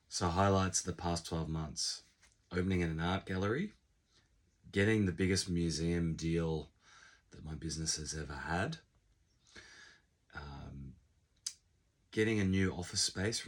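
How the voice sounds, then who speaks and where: A man with a croaky, hoarse voice, still sick, talking over his year in a reflective, unhurried vlog with many ums. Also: extremely low pitch. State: hoarse, recovering from illness. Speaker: channel creator. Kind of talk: casual vlog monologue. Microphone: unfamiliar backup microphone.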